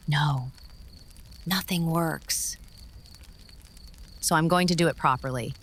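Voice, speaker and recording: A bitter, vengeful figure in a noir audio drama. The voice is tense and sharp, mid-pitched and slow, with clipped, deliberate phrases full of menace and grief. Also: a little hiss or hum. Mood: bitter, menacing.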